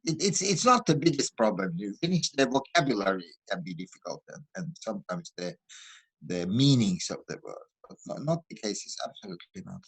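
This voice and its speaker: A man taking part in an online lesson discussion, explaining his points in a deliberate, argumentative way. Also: fairly low pitch, very animated, creaky, breathy edge, no room sound, poor audio. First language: not Finnish. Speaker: lesson participant. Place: online video call.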